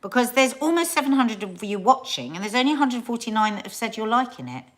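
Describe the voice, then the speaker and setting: A woman's voice: on the high side, very animated, fast talker, fairly bright tone. A middle-aged woman hosting a casual livestream, chatting with viewers, often trailing off and restarting her sentences. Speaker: livestream host.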